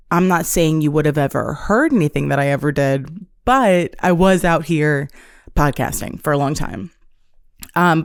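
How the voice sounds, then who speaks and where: A woman's voice: low-pitched, creaky, a little mellow, no room sound, clean studio sound. A woman in her twenties hosting her own podcast alone, chatting casually and self-deprecatingly from her apartment. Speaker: solo podcast host. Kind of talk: casual confessional chat. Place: apartment room. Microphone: on-camera setup.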